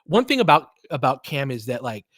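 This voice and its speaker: A man's voice: mid-range voice, very animated, a little fast, a bit bright, husky, no echo, studio quality. A man doing live basketball play-by-play and analysis in a conversational, slangy way, explaining tactics in detail.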